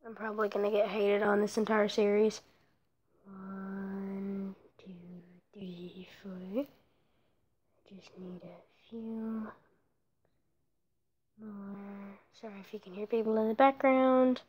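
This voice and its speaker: A woman giving casual commentary over her own mobile block-building gameplay, rambling and often dropping into soft whispered asides, recorded on her phone. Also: medium pitch, little pitch movement, takes it slowly, long pauses, breathy edge. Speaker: let's play creator.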